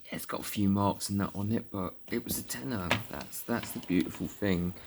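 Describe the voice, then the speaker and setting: A man's voice: fairly low pitch, brisk, gravelly, noisy background. A man with a southern English accent chatting casually and informally, like a hobbyist making an off-the-cuff vlog. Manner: chatty, informal British slang. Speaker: CB radio hobbyist vlogger.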